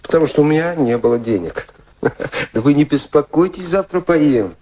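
Actor as a shy, grateful, poor young violinist. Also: male voice, on the high side, expressive, normal speed, mellow, lightly acted. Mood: earnest. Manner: hesitant, apologetic, self-effacing. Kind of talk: radio play acting.

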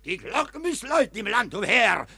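An actor playing a fiery Capuchin friar who thunders rhymed sermons at a crowd of soldiers in a radio play. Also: male voice, sits high, very animated, brisk, sharp tone, no echo, exaggerated voice. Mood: scolding sermon. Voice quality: rasping, thundering rhymed preaching. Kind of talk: stage verse radio play.

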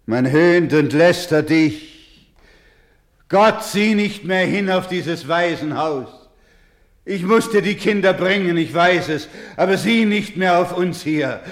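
An actor in a German radio drama playing an anguished, devout doctor who cares for orphans, speaking gravely, solemnly and pleadingly. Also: male voice, high-pitched, slow pace. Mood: desperate prayer.

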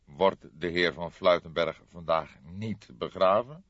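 A man voicing a grey-haired, courteous and shrewd old detective in an audiobook, speaking in a measured, formally polite way. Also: sits low, a bit bright, no echo, lo-fi sound. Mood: slow, feigned puzzlement. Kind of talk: audiobook character voice.

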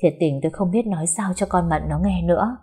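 A woman's voice: on the low side, fast, warm tone, slightly rough. A woman playing a caring older aunt in an audio drama, speaking in a worried, pleading manner.

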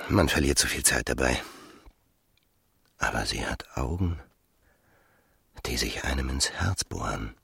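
A cynical, smooth-tongued seducer in a radio drama. The voice is extremely low and crackles with fry, intimate and calculating. It is recorded close and dry. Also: male voice, sharp tone, no echo, exaggerated voice. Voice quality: gravelly, husky. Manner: intimate, murmuring, drifting into whispers. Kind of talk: German radio play acting.

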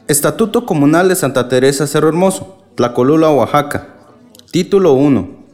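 An obliging assembly secretary reads out statutes in a radio drama. His voice is a little high and his delivery is formal and official. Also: expressive, measured pace, darkish tone.

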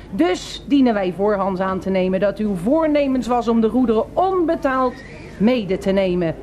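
Woman playing officious female security guard, radio play, fairly high voice, bureaucratic, long-winded, self-important, light background noise. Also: darkish tone, close to an everyday voice. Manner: pompous, long-winded formal officialese, royal we. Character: pompous, officious female store detective, unbending bureaucrat.

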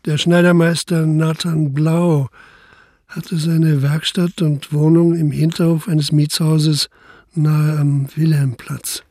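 An actor playing an earnest police detective who also narrates a radio drama, sober and matter-of-fact. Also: male voice, a little high, little pitch movement, slow, a little mellow. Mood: sober, recounting.

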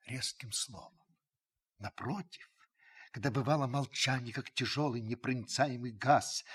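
Хриплый, задумчивый рассказчик радиоспектакля. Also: male voice, medium pitch, a bit bright, breathy edge, exaggerated voice. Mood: moved, admiring. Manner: measured, pensive, literary delivery. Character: reflective older French uncle recalling the past, restrained. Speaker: narrator in radio play. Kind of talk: dramatic first-person narration for radio.

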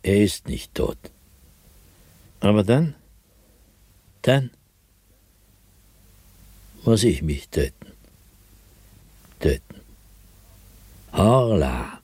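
A man's voice: lowish voice, big pitch swings, darkish tone, husky, no room sound, exaggerated voice. An actor playing a terrified man descending into madness, delivering a theatrical, fervent diary monologue in a radio play. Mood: despairing. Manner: haunted, theatrical, fervent, with dramatic pauses and whispers.

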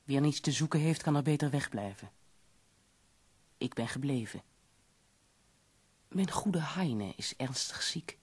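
Very husky, composed lady visitor. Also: a bass voice, expressive, darkish tone, full character voice. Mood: sombre. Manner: measured, formal, considerate. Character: polite, composed, concerned lady visiting a sick friend. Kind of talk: radio drama narration and dialogue.

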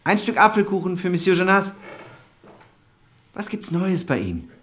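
An actor playing an effusive, nosy restaurant owner in a radio play, jovial, solicitous and prying. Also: male voice, high voice, sing-song, normal speed, fairly bright tone. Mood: jovial, welcoming. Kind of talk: German radio play acting.

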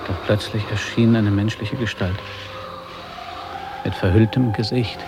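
An actor playing a weary, reflective polar adventurer in a literary radio drama. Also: male voice, fairly low pitch, fairly even intonation, a little slow, noisy background. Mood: ominous, awed. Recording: loud music behind the voice. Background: loud music.

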